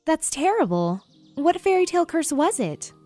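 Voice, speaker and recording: A woman's voice: high voice, normal speed, faint music behind. A woman voicing a gossipy, dismayed palace maid in a fairy-tale game. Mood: dismayed, curious. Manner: expressive, gossiping.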